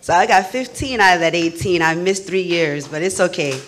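A woman's voice: lowish voice, expressive, moderate pace, background noise. A middle-aged woman speaking as an emcee, conversational and addressing the audience directly at a podium microphone. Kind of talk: informal emcee remarks. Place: event hall stage at a podium.

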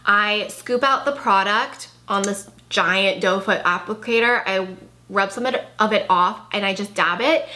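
A woman's voice: medium pitch, sharp tone. A woman in her thirties chatting through a casual makeup product review in her bedroom, her voice a bit worn because she is losing it. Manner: chatty, enthusiastic, with frequent "like" and "really". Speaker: beauty vlogger. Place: bedroom with clothing rack, indoors.

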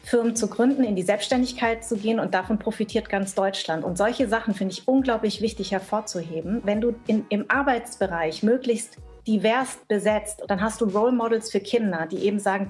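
A woman's voice: mid-range voice, brisk, hardly any pauses, darkish tone, soft background music. A woman from Bavaria, around her thirties or forties, discussing in an emphatic, colloquial way on a video call. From Munich, Bavaria, Germany. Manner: emphatic, colloquial, quotes imagined objectors. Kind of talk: opinionated casual discussion. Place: indoor room on a video call.

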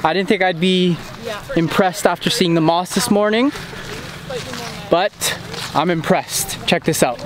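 A man's voice: high-pitched, unhurried, long pauses, a crowd in the background. A young man filming himself as a travel vlogger, chatting casually to a handheld camera outdoors at historic sites. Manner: enthusiastic, easygoing. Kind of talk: casual vlog talk to camera. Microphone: handheld camera at arm's length.

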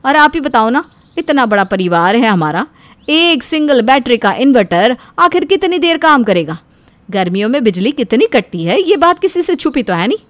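A woman voicing a defiant, quarrelsome elder daughter-in-law in an animated family story, arguing indignantly. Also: on the high side, moderate pace, dry recording. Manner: argumentative, indignant.

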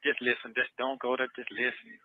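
A man's voice: medium pitch, flat delivery, fairly quick, dry recording. A man in a casual phone conversation, heard over a tinny recorded line, talking in a hesitant, repetitive, rambling way. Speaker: prisoner on a phone call. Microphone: telephone. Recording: muffled, tinny recorded prison phone call.

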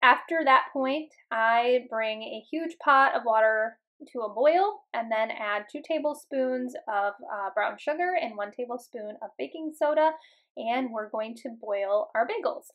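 Relaxed, chatty woman with a higher voice. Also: measured pace. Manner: relaxed and chatty, with frequent ums and uhs. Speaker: home cooking vlogger. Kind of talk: casual voice-over narration. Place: home kitchen voice-over.

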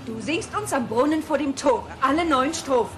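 A woman's voice: high voice, animated, normal speed, music in the background. A woman voicing a stern, exasperated schoolteacher in a German cartoon audio play, scolding and commanding. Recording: cassette with clear background noise.

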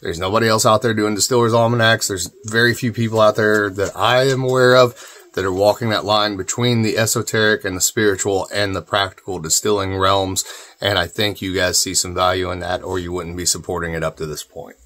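Middle-aged male vlog host, lowish voice, folksy and informal, talking straight to camera from a room at home. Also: steady tone, a little fast, fairly bright tone. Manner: folksy, informal, addresses viewers as guys. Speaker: distiller and video channel host. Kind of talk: casual talking-head vlog. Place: small cluttered room at home, indoors.

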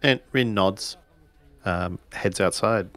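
A man playing a tabletop game, casually narrating what his characters do in a tentative, halting way. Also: lowish voice, a bit bright, no echo. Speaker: roleplaying game player.

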